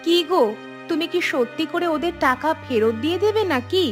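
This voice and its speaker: A woman's voice: very high-pitched, expressive, moderate pace, soft background music. A woman voicing a practical, inquisitive village housewife in a cartoon, often questioning. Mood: curious. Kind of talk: cartoon dialogue acting.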